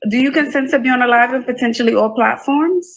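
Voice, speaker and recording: A woman's voice: fairly high pitch, fast talker, slight background noise. A woman hosting a live call-in dating show, asking a caller pointed, probing questions.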